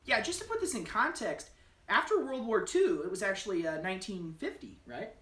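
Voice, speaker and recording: Woman co-host for a spoof interview, deadpan, bright tone, mic set back. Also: mid-range voice. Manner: deadpan, halting with fillers and restarts. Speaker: channel co-host. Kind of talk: mock-serious joke interview. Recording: roomy, distant mic.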